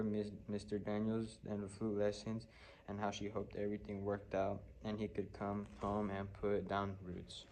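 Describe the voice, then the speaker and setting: A man's synthetic voice reading a children's novel as scripted narration, flatly and with odd stumbles. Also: fairly low pitch, a little hiss or hum, poor audio. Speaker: audiobook reader.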